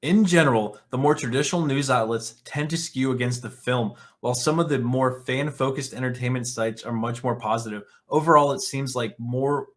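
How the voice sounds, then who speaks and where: Guy doing opinionated online commentary, mid pitched voice, blunt n sweary, sarcastic, reads stuff out and reacts. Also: moderate pace, hardly any pauses, fairly bright tone. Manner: sarcastic, scornful, blunt and profane. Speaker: online commentary show host. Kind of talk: opinionated commentary, reading articles aloud and reacting.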